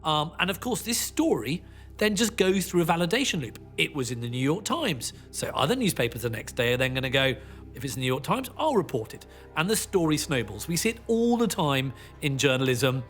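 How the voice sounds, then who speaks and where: A man explaining media history as an interviewee, in an animated, emphatic storytelling manner. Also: fairly high pitch, fairly quick, faint music behind. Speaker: documentary interviewee, media commentator.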